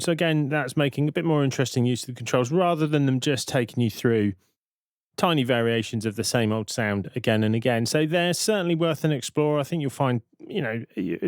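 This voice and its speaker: A man's voice: medium pitch, no room sound. Man narrating a tutorial, dry close sound. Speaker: music software tutor. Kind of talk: casual tutorial narration over screen recording.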